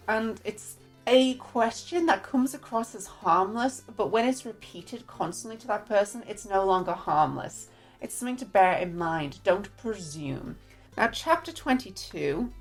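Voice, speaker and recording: A woman from the northeast of England with a northeast English accent, giving a candid, chatty book review. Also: mid-range voice. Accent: northeast English, mixed with other English accents. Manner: chatty, candid, reads quotes aloud.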